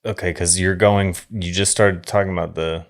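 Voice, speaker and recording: A man's voice: low-pitched, steady tone, moderate pace. A man co-hosting a podcast in casual conversation about films. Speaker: podcast co-host discussing films. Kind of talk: casual film discussion.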